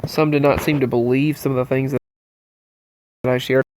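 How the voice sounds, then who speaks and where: A man with a stopped-up, allergy-stuffed nose talks straight to his viewers in a loose, rambling monologue. His pitch sits in an ordinary middle range, and he speaks earnestly as he moves from item to item. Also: fairly even intonation, moderate pace, darkish tone, a little hiss or hum, low-quality recording. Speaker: channel host. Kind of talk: casual news-roundup monologue.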